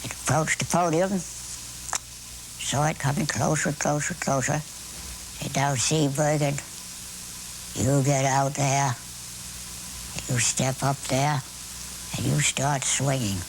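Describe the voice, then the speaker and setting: Creaky, low-voiced elderly American woman. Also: steady tone, a little hiss or hum, low-quality recording. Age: 80s. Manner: deliberate, dramatic phrasing with pauses and repetition. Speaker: amateur conductor being interviewed. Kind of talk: reflective interview storytelling.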